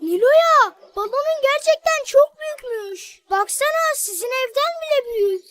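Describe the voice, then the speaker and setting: Cartoon playmate: small boy, very high dry voice, eager, playful, friendly teasing. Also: animated, normal speed, fairly bright tone, no room sound. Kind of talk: children's cartoon dialogue.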